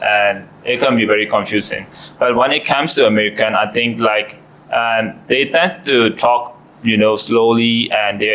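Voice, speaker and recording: A man's voice: fairly low pitch, a bit bright, rough recording. Hesitant Burmese-speaking young man. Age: 20s. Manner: hesitant, many fillers. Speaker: student.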